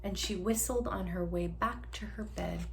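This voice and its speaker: A woman reading a story aloud to young children, expressive, drawing out words, dropping into hushes and asking the listener questions. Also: mid-range voice, normal speed, gravelly, slight background noise. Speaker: children's story reader, teacher.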